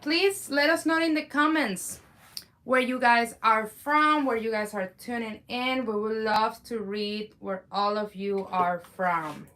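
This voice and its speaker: A woman hosts a live stream and chats cheerfully with viewers. Her voice sits slightly high and bright, and her delivery is upbeat and talkative. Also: measured pace. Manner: upbeat, chatty, addresses viewers. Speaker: live-stream host, co-owner.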